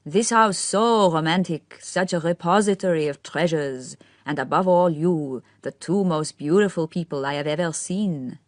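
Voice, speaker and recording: Woman reading as a dreamy showy young guy, odd accent, drags out every word, lowish voice, super dry. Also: moderate pace, few pauses, no room sound, natural voice. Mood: gushing. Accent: odd foreign-tinged accent of his own. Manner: gushing, every syllable overemphasized, speaking through a smile. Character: affected flamboyant young man, dreamy and theatrical. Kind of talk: audiobook character reading.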